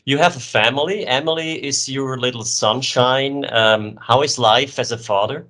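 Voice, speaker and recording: A man puts questions in a sports interview. His voice is bright and crisp-edged at a middle pitch, and his delivery is conversational. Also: moderate pace. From Switzerland.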